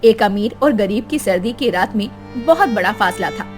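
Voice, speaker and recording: A woman's voice: medium pitch, animated, normal speed, a little mellow, faint music behind. A woman narrating a story in a dramatic, scripted storytelling style.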